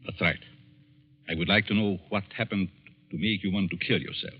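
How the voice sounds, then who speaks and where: An actor playing a smooth, probing, menacing psychology professor in a 1940s radio thriller, deliberate and theatrical. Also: male voice, low voice, fairly even intonation. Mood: insistent, persuasive. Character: smooth, menacing middle-aged psychology professor, coolly persuasive. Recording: dull vintage 1940s radio recording.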